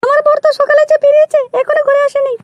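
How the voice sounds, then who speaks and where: নারী কণ্ঠে খুব চিকন গলার ন্যাকা বউ. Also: female voice, extremely high pitch, flat delivery, racing, dry recording. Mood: flirtatious. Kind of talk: comic cartoon dialogue.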